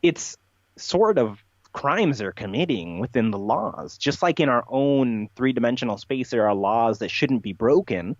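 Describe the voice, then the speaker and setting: A man talking as an interview guest, giving animated, emphatic, rambling explanations. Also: medium pitch, measured pace, dry recording. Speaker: podcast guest being interviewed. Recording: dull, lo-fi, dry sound.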